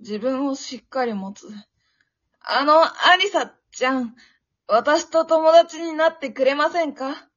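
A woman's voice: sits high, takes it slowly, a bit bright. A woman acting a timid, bullied little schoolgirl in an amateur radio drama, meek and hesitant. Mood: shy, hesitant. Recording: dull, lo-fi audio.

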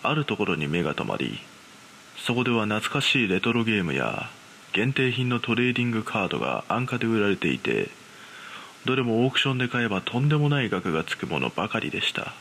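A man playing an ordinary, uneasy young man who recounts his frightening experience in a dramatised, measured, suspenseful horror narration. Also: on the low side, big pitch swings, fairly bright tone, slight background noise. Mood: calm narrating. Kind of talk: dramatised ghost story narration.

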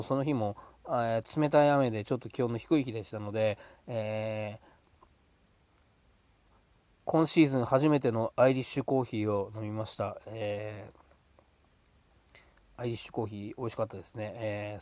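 A man hosting a casual solo talk show, speaking politely with drawn-out hesitations between phrases in a dry, close room. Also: on the low side, no echo. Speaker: podcast host.

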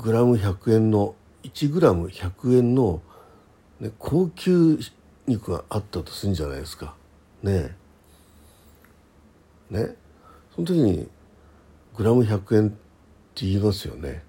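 Husky, creaky-voiced man chatting casually. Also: lowish voice, warm tone. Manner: hesitant, conversational, self-agreeing asides. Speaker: host of a personal audio talk show. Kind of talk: casual solo radio chat, reading listener messages.

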